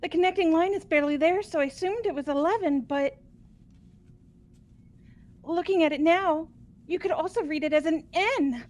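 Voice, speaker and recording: A woman's voice: very high voice, normal speed, long pauses, a little mellow. A woman voicing a young student in a group debate, in an amateur video game dub. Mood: reconsidering. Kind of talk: amateur voice acting in a visual novel playthrough.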